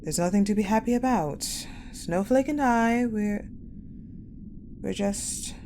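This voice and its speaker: A woman playing a cold, guarded, possessive war general in a dramatic audio roleplay, speaking in a measured, haughty, pensive way with deliberate pauses. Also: mid-range voice. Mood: guarded, wistful. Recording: clearly noisy, ambient sound bed.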